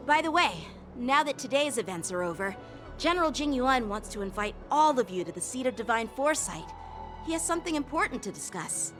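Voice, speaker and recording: A woman's voice: fairly high pitch, big pitch swings, brisk, fairly bright tone, soft background music. A woman voicing a polite, earnest, self-doubting teenage boy swordsman in an anime-style video game. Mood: grateful.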